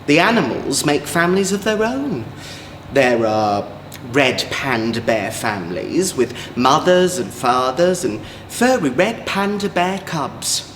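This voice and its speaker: Male drag storyteller for children's tales; camp, unhurried, highly expressive pitch, playful asides. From England. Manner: campy, playful, exaggerated, chatty asides to young listeners. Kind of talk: theatrical children's story reading. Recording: roomy echo, faint background noise.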